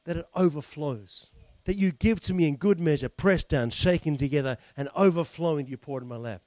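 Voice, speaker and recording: A man's voice: a little high, a little fast, dark tone, dry recording. A male pastor leading communion, preaching in a fervent, declarative way with lots of repetition.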